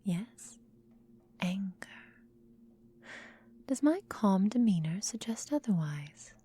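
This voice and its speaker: A woman voicing a menacing, possessive vampire mistress in a whispered ASMR roleplay, calm and deliberate, breathy, close to the microphone. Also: mid-range voice, exaggerated voice. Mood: cold, simmering anger. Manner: slow, breathy, deliberate, coolly domineering.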